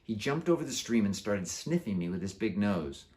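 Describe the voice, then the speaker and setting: A man who is an author reading his children's book aloud in an animated storytelling style. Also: lowish voice, normal speed.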